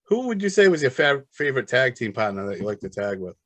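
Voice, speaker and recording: A man's voice: mid-range voice, big pitch swings, fast, a bit bright, no room sound. A man hosting a casual interview, asking a wrestler friendly, curious questions.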